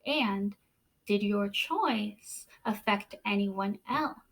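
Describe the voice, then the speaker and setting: A woman teacher reading aloud to children expressively, with deliberate dramatic pauses and questions for her young listeners. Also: medium pitch.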